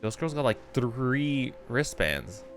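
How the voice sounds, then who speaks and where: A man's voice: mid-range voice, sing-song, slow, faint music behind. A man doing casual live commentary as a game streamer, joking around in slangy speech.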